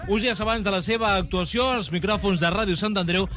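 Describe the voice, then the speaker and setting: A man's voice: high-pitched, fairly quick, a bit bright. A man with a Catalan accent reading a scripted local radio news report as its reporter.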